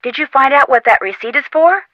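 Plucky young female sleuth, adventure game dialogue, fairly high pitch, dry close sound, persuasive and encouraging. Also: lively intonation, fairly quick, no echo, muffled recording. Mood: brisk, curious. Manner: encouraging, insistent, conversational.

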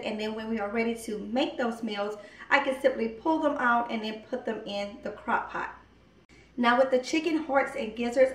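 A woman in her thirties talks through a home cooking vlog in a relaxed, chatty way, her voice sitting a little high. She is picked up from a distance by a camera mic. Her voice echoes around a hard-surfaced kitchen. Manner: chatty, relaxed, laughs at herself. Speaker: vlogger. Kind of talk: casual cooking vlog narration.

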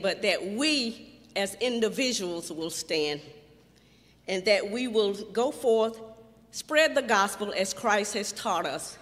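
An older woman giving an earnest, measured announcement at a church microphone. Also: medium pitch, fairly bright tone. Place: church hall. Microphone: stand mic. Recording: reverberant church hall.

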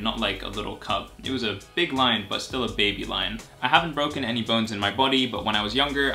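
A man's voice: lowish voice, pushed and bright. Upbeat young man chatting to camera. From Greece. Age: 20s. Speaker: YouTuber and medical student. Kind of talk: casual vlog to camera. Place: living room, seated on a sofa. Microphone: camera at medium distance.

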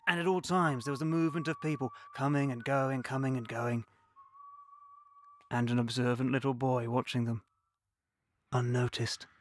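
An actor playing an older man recalling his wartime childhood, narrating in a measured, reflective, lyrical way. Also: medium pitch, fairly even intonation, lightly acted. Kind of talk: scripted first-person narration. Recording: clear ambient noise behind voice.

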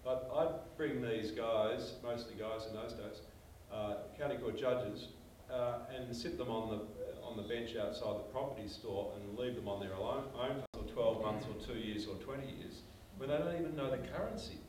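A man's voice: mid-range voice, normal speed, few pauses, a little mellow, slight background noise. Older australian man on a panel, emphatic storyteller, heard from far off in an echoey room. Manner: emphatic storyteller, gestures while talking. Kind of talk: animated panel discussion. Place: small meeting room.

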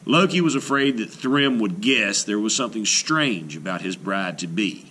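Casting: male children's storyteller, theatrical, forceful, very varied pitch, unhurried. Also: a little hiss or hum. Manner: expressive, theatrical, deliberate. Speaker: read-aloud storyteller. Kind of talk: dramatic children's storybook narration.

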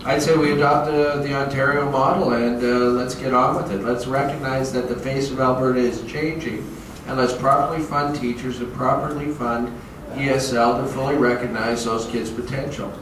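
A man's voice: medium pitch, fairly even intonation, normal speed. A man in his thirties on a political panel, speaking with many fillers and pushing for action, on a handheld mic. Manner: many filler uhs, emphatic calls to act. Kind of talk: panel debate answer. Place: large hotel meeting room. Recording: far-off echoey hall with noise.

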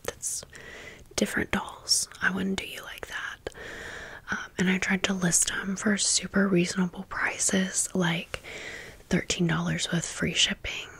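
A woman whispering in a breathy, unvoiced voice, chatting informally to viewers as friends in a casual ASMR storytime. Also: on the low side, little pitch movement, unhurried, a bit bright, no echo. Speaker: ASMR video creator and host.

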